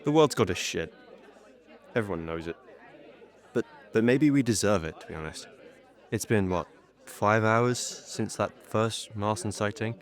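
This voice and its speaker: A young man playing a despairing radio reporter who witnesses an alien invasion, speaking haltingly in a dramatic monologue. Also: lowish voice, animated, some chatter behind. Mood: bleak, shaken. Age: young adult. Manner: halting, muttering, breaking off.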